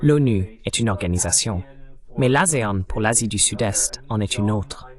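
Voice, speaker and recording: A man with a fairly low voice provides a spoken voice-over translation. He delivers interview questions and answers in the manner of an interpreter. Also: normal speed, crowd noise.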